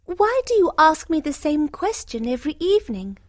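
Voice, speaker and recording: Woman acting a high-voiced, gentle young fairytale heroine. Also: big pitch swings, normal speed, no echo, clean studio sound. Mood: polite, apologetic. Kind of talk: fairytale storybook dialogue for English learners.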